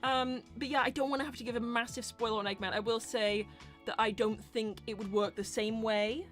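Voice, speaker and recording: A woman's voice: fairly high pitch, steady tone, brisk, soft background music. A woman hosting a live roleplay stream, chatting casually and candidly as she answers questions in rambling explanations. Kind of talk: casual livestream Q&A chat.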